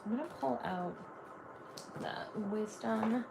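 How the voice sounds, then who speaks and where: A woman's voice: fairly high pitch, slow pace, creaky, noisy background. A woman from Canada giving a live tarot reading, chatty and gushing, full of "like" fillers. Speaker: tarot reader.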